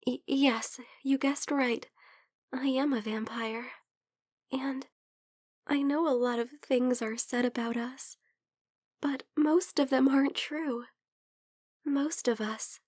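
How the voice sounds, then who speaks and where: Soft, high-voiced, unhurried vampire girl played by a woman. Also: steady tone, dry recording, clean studio sound. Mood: hesitant, confessing. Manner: soft, hesitant, playfully teasing. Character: sick, gentle, teasing female vampire friend. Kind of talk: soft-spoken ASMR roleplay.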